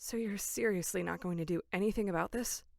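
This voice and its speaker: A woman's voice: medium pitch, fast talker, creaky, darkish tone, no echo, studio quality, lightly acted. A woman playing a serious, determined young camp counselor in a horror audio drama, earnest and firm. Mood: disbelieving, curt. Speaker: voice actor.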